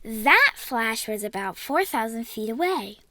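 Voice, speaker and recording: Young excitable bear cub played by a female reader, childlike high voice, slow careful delivery, picture-book read-along. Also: no room sound, heavily acted. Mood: earnest. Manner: childlike, wide-eyed.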